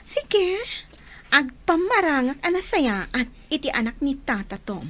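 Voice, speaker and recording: A woman with an Ilocano accent playing a sly, excitable, cheeky young daughter in a radio drama. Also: high voice, slow, full character voice. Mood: eager scheming.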